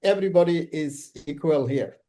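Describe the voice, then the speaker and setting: A man hosting an online business summit, talking casually and haltingly with many ums and uhs over a video call. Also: fairly high pitch, mellow. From Bangladesh. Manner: hesitant, full of ums and uhs. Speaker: summit host.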